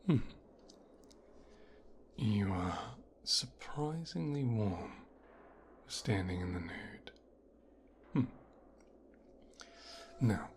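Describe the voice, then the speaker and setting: A man playing a mafia boss's son in an ASMR roleplay, softly and slowly examining his captive with gentle, clinical condescension in a breathy, whispery voice. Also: fairly low pitch, slight background noise. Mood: clinical, calm.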